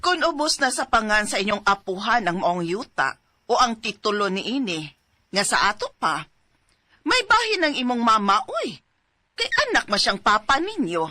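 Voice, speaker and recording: Bossy auntie in a radio drama, bright punchy voice, swoops around. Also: female voice, mid-range voice, big pitch swings, moderate pace, no echo, soft background music. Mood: advising. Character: assertive aunt urging her nephew to fight.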